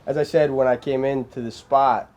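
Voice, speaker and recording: Male guest, mellow low-bright voice, animated and rambling, lots of restarts. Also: moderate pace, muffled recording. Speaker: filmmaker guest. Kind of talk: casual interview chat.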